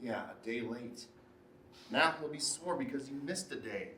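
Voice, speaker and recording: A man's voice: mid-range voice, lively intonation, a little slow, pushed and bright. A man plays a needling husband in a naturalistic drama. His delivery is emphatic and self-absorbed. He is slightly distant from the mic in a roomy space, with some background noise. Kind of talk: naturalistic relationship drama acting.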